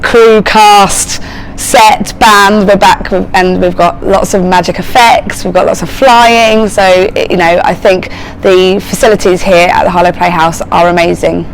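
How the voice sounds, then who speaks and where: A woman's voice: medium pitch, fairly even intonation, measured pace, a little hiss or hum, rough recording. A woman working as a choreographer, answering interview questions in an upbeat, emphatic way. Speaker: choreographer and casting director.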